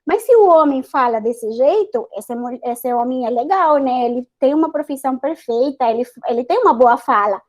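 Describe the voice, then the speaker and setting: High-voiced woman with a Spanish accent. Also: expressive, normal speed, hardly any pauses. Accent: Spanish-accented Portuguese. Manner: earnest, emphatic, with hesitant fillers and restarts. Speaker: scientist guest on a panel. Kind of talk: online panel discussion. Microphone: video call.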